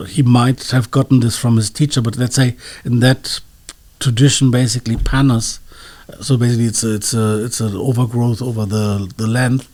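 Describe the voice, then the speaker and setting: A man's voice: mid-range voice, darkish tone. A man speaking as an expert interview guest, explaining in a hesitant, scholarly way and searching for his words.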